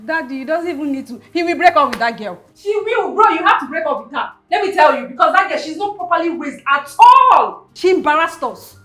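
A woman's voice: sits high, expressive, fairly quick, hardly any pauses, bright, forceful tone. A woman with a Nigerian accent playing an outraged, bossy, protective sister, insistent and repetitive, in a screen drama. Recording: echoey room, faint music behind.

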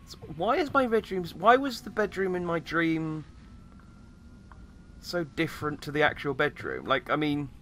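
A man with a slightly croaky voice giving casual, rambling commentary as he plays a game. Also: on the high side, expressive, normal speed, sharp tone, slight background noise. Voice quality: slightly croaky, recovering from voice loss. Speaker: gamer, host of a let's play.

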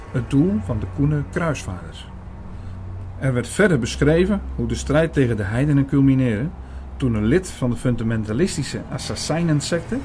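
Earnest priest-narrator played by a man, medium pitch, scripted storytelling, faint noise behind. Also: a little mellow, lightly acted. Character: earnest male priest recounting his investigation. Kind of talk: scripted audio drama narration.

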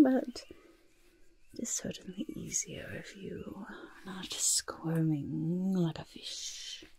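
A young woman voicing a grudging, smug goblin disguise artist in a breathy, raspy, soft-spoken ASMR roleplay, muttering reassurances close to the microphone. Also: on the low side, exaggerated voice. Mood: grudging, fussy. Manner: refers to self by name, mutters and repeats reassurances. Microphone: close microphone, face close to camera.

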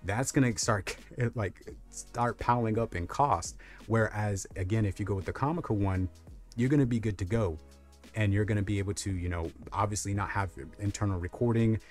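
Deep-voiced man reviewing gear casually. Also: normal speed, soft background music. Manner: rambling, frequent fillers. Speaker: tech reviewer. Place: small room lit with colored lights. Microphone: lapel mic.

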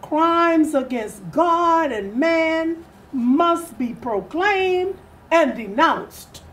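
A woman's voice: very high-pitched, animated, very slow, fairly bright tone. An older woman giving a solemn, declamatory dramatic reading of an old speech. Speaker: readers theatre performer. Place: furnished sitting room, indoors.

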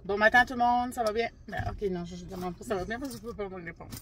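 A woman's voice: mid-range voice, fast, fairly bright tone. A woman in her forties with a Quebec French accent, chatting and giggling through vlog intros in a parked car. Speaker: travel vlogger. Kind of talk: casual chat. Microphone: phone camera on the dashboard.

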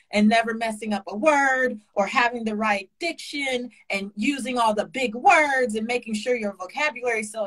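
A woman's voice: on the high side, fairly bright tone, poor audio. Passionate woman preaching conviction. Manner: passionate, preachy, repetitive emphasis. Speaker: guest speaker. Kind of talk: casual video-call interview. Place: home kitchen indoors. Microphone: webcam on a video call.

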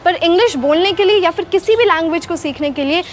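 A young Indian woman from Haryana with a very high voice talks through her advice in a casual, explanatory way. She speaks into a clip-on mic in an open garden courtyard, with faint outdoor ambience behind her. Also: quick pace, a little hiss or hum. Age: young adult. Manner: mixes Hindi and English, explanatory, gestures with hands. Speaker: guest, English accent coach. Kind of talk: casual interview answers. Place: outdoor garden courtyard under shade net. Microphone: clip-on lapel mic.

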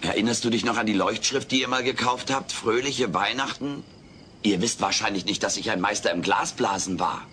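A man's voice: on the low side, a little fast, sharp tone, slight background noise. A man voicing a brash, wisecracking furry alien in a sitcom audio play, theatrical, pompous and cheeky. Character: brash wisecracking furry male alien playing hotel host.

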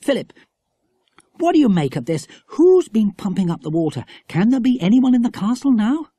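A man's voice: high voice, big pitch swings, moderate pace, mellow, husky, no room sound, voice pushed up, full character voice. A man voicing an alert, questioning and practical schoolboy in a storybook, earnest and forthright. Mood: urgent.

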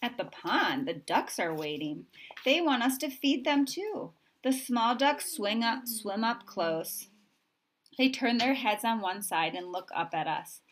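A woman reading a children's picture book aloud in an expressive way, with simple, deliberate phrasing for young listeners. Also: a little high. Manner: expressive, acting out sound effects. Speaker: storybook reader.

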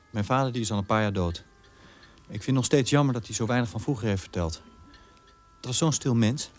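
A male actor plays a reflective son in his late twenties in a radio play. He has a mid-pitched voice and a measured way of speaking, with music faintly behind him. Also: natural voice. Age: 20s. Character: reflective 28-year-old son remembering his quiet father. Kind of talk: radio drama monologue.

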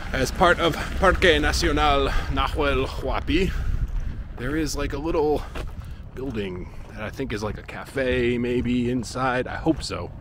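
A man's voice: mid-range voice, noisy background. A man in his thirties chatting casually while walking outdoors, filming himself at arm's length for a travel vlog, with lots of fillers. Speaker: travel vlogger. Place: outdoors by a lake and parking lot. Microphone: handheld action camera at arm's length.

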